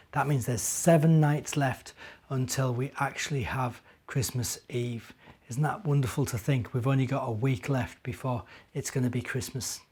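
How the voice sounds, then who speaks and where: A middle-aged man, a minister, telling stories to young children and chatting to them directly with gentle questions. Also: mid-range voice, husky. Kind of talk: children's storytelling and gentle chat.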